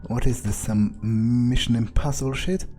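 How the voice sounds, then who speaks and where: A man thinking aloud in character as he plays a video game, muttering deliberately and swearing now and then. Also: medium pitch, steady tone, dark tone, soft background music. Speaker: gamer doing an in-character playthrough.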